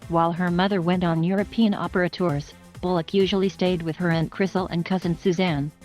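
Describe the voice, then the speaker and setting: A woman reading a scripted biography narration in an even, flat manner. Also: lowish voice. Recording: faint music underneath.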